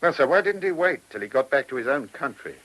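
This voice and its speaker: An actor playing a probing, polite but insistent chief inspector with a British accent and a husky voice in a radio play. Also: male voice, medium pitch, sing-song, fast, fairly bright tone, low-quality recording. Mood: businesslike, probing. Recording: old radio drama recording.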